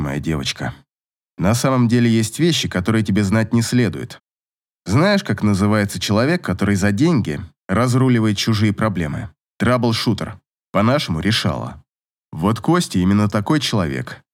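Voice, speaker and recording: A man's voice: fairly low pitch, big pitch swings, normal speed. A man voicing a possessive, domineering male lover in an audiobook romance, with an insistent and authoritative manner. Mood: low, commanding.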